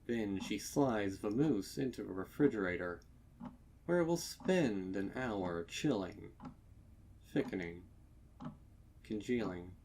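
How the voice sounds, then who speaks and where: A man reading a scripted horror story as narrator, with deliberate, dramatic delivery. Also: lowish voice, lively intonation, darkish tone, slight background noise. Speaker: narrator of fan fiction readings.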